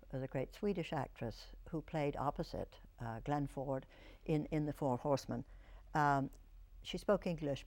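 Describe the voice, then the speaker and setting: A woman's voice: a bass voice, a little mellow. Older lady actress telling old stories, stops and starts, kinda thoughtful. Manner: reflective storyteller, halting. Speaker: actress, interview guest. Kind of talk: reminiscing interview answers.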